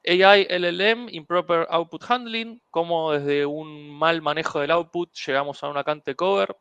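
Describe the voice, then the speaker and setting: A man hosting a security webinar in a relaxed, rambling way, with many fillers and English tech terms. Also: on the high side, normal speed, clean tone, no echo, muffled recording. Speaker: webinar host. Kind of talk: casual technical presentation.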